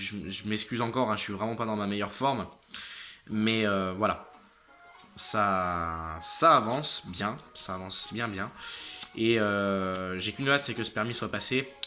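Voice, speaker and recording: Late-teen male vlogger, exhausted and a bit congested, with a tense, bright tone. Halting delivery that trails off and restarts. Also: lowish voice. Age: late teens. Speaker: motorcycle channel host. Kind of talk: casual vlog update to viewers.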